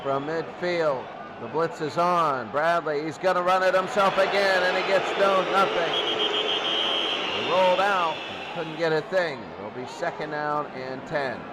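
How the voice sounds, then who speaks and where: Man calling football, high voice, noisy. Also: measured pace. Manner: explains rules plainly, then calls plays in an energetic radio-announcer voice. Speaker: hobbyist game host and play-by-play announcer. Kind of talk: simulated old-time radio football play-by-play.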